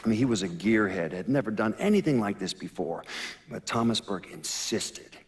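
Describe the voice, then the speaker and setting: A man's voice: fairly low pitch, very animated, normal speed. An actor playing a man who recounts a crime story in a matter-of-fact, explanatory way, as in a video game's documentary interview. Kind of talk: documentary-style interview narration in a video game.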